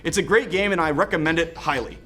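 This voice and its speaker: A man with a slightly high voice delivers a scripted game review with clear enthusiasm. Soft music plays behind him. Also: quick pace. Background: background music.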